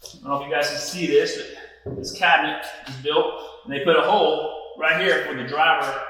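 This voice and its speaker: Male installer, middle-aged, informal walkthrough delivery, slightly high bright voice, heavily echoing empty-house sound. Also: steady tone, brisk. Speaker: audio-video installer presenting a job-site walkthrough. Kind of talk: casual walkthrough explanation. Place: large unfinished house interior, hard floors. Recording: echoey empty rooms with long reverb.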